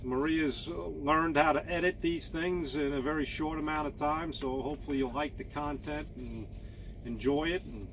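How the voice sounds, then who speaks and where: A man in his fifties, a truck driver, talking casually to camera in a monotone, halting way with many fillers and repeated words. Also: a little high, noisy background. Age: 50s.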